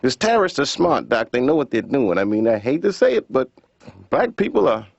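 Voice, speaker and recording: A man's voice: mid-range voice, big pitch swings, fairly quick, vocal fry, husky, dry recording, heavily acted. A man playing a wry therapy patient in a cartoon, telling his worries as an emphatic, conversational stand-up routine. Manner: emphatic, conversational comic storytelling. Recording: dull, lo-fi audio.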